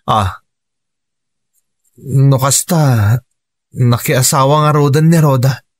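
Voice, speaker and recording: An actor with a Filipino Ilocano accent playing a forgiving, soft-spoken man in a radio drama. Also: mid-range voice, slow, dry recording, studio quality. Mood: subdued, resigned.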